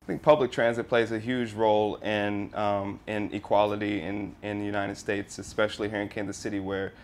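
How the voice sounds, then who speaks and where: A man in his thirties giving an unscripted interview answer, halting as he thinks. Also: fairly low pitch, little pitch movement. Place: inside an empty streetcar.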